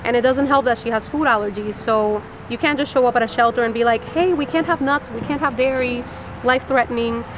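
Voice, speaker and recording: A high-voiced woman from Puerto Rico tells her story in a candid, animated way, acting out remarks as she goes. Clear outdoor urban noise and traffic sit behind her. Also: fast. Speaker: mother recounting a hurricane.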